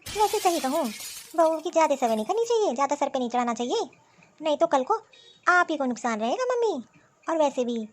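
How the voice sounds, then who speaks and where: A woman voicing a jealous, sulky sister-in-law who talks in a sarcastic, complaining way in an animated family drama. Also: high-pitched.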